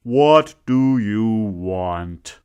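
A male storyteller voicing a greedy, nasty man in the sun, loud, slow and growling. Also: on the low side, lively intonation, smooth, muffled recording. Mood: grumbling. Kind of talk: storytelling performance.

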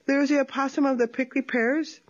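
A sly, sweet-talking male opossum, put on by a woman storyteller, chirps along in a high, bright voice. He coaxes and flatters like a storybook trickster, mixing Spanish with English. The recording is dull and lo-fi. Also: fairly quick, natural voice. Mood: coaxing.

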